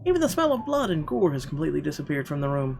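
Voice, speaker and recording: Higher-pitched man narrating over loud game music. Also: racing, gravelly. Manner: casual, sweary asides between expressive reading. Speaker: let's player reading a visual novel. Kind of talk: gaming playthrough, dramatic read-aloud narration. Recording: music playing behind the voice.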